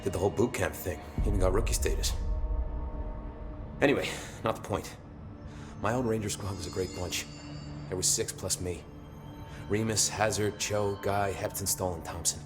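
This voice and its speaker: A man's voice: sits low, normal speed, over music, close to an everyday voice. An actor playing a plain-spoken, casual security man in a video game. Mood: casual, worried. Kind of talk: naturalistic video game acting, casual chat.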